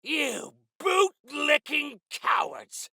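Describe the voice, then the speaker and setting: An actor playing a grandiose, eccentric exiled miner rebel in a video game, speaking in florid, archaic, theatrical phrases. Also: male voice, very high voice, lively intonation, drawn-out words, creaky, bright, forceful tone, dry recording, clean studio sound, heavily acted. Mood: furious, defiant.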